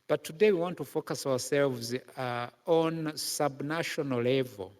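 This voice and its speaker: A Ugandan man moderating a conference panel, speaking slowly with frequent hesitant pauses. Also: medium pitch, darkish tone. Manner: slow and halting, many filler pauses. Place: large conference hall. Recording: hall reverb.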